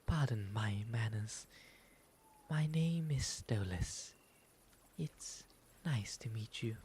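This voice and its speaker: A man voicing a gentle, courteous owl demon gentleman in an ASMR roleplay, breathy and often whispering, with polite, formal, soothing phrasing. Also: on the low side, slow. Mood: courteous, friendly. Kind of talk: ASMR roleplay, intimate slow delivery. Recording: faint steady background ambience noise.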